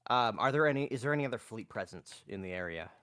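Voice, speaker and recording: A man's voice: fairly low pitch, animated, fast, a bit bright. A man chatting casually as a tabletop game player, hesitant and thinking aloud as he speaks.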